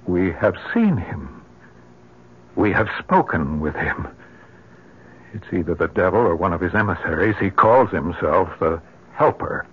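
Radio drama husband: low, husky, swooping with fear, earnest, dull vintage recording. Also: male voice, sing-song, measured pace, pauses often, exaggerated voice. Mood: troubled, earnest. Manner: urgent, earnest, emotional. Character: anguished, frightened husband insisting evil pursues them. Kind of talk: old-time radio drama acting.

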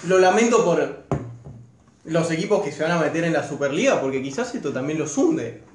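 A man's voice: a little high, expressive, a little fast. A young man with an Argentine Rioplatense accent chatting casually and colloquially with friends about sport. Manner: colloquial, slangy. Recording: roomy, echoey room.

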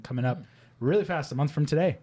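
A man's voice: a little high, dark tone. A man co-hosting a casual podcast, presenting gaming news with joking asides. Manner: joking, quick asides, then explains news in a run. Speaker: podcast co-host.

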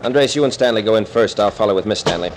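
An actor playing a confident, breezy, commanding captain-detective with an American accent in an old radio thriller. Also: male voice, fairly low pitch, fast, lightly acted. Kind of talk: 1940s radio thriller acting. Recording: noisy old-time radio recording.

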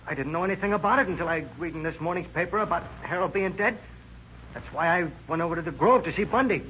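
An actor playing a scared teenage suspect, earnest and agitated, in 1930s radio crime drama with slangy talk. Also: male voice, sits high, fast talker, bright, forceful tone. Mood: anxious, insistent. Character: scared, eager teenage male suspect denying involvement. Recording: muffled, noisy old radio recording.

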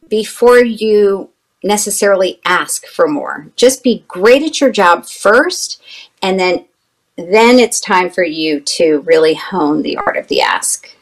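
A woman guest in an interview, speaking thoughtfully with frequent fillers and restarts. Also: medium pitch. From United States. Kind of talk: conversational interview.

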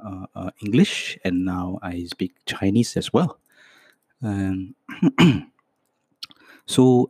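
A man in his fifties with a Hong Kong Cantonese accent, a Cantonese native speaker, hosting his first podcast and slowly reading a self-introduction script, soft and halting with many fillers. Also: lowish voice, no room sound. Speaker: podcast host.

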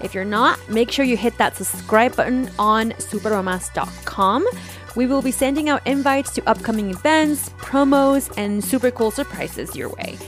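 A woman's voice: a little high, moderate pace, faint music behind. A woman hosting a casual podcast, speaking in a candid, self-deprecating way. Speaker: podcast host.

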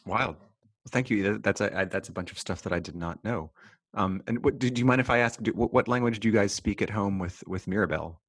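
A man hosting a casual conversation, relaxed and curious as he asks questions. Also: on the low side, fast talker, slightly rough, no room sound, studio quality. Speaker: host.

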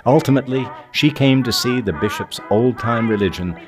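A man's voice: medium pitch, moderate pace. A man reading scripted documentary narration. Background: music.

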